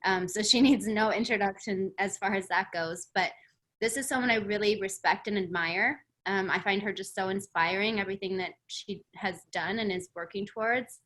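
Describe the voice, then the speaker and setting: A woman's voice: mid-range voice, normal speed, a bit bright, poor audio. A woman organizing a workshop, introducing the speaker with enthusiasm and frequent fillers. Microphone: video call.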